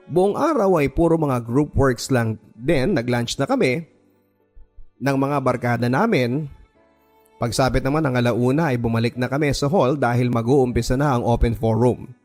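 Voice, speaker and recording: Man, medium pitch, scripted dramatic storytelling, radio drama narrator, music bed. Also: normal speed, a little mellow. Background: background music.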